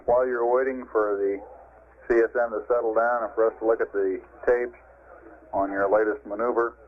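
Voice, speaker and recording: A tinny, narrow-band 1960s radio link carries a man's lowish voice. He talks as a mission controller, in clipped and businesslike procedural phrasing. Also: fairly even intonation, normal speed. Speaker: mission control capsule communicator. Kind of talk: procedural space-to-ground radio exchange.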